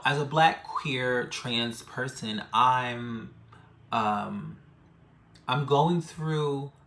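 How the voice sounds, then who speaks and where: A man tells a personal story to camera in a reflective, halting way, repeating phrases for emphasis. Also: mid-range voice, fairly bright tone. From Bronx, New York City, United States. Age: 30s. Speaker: death midwife and ritualist, vlogger.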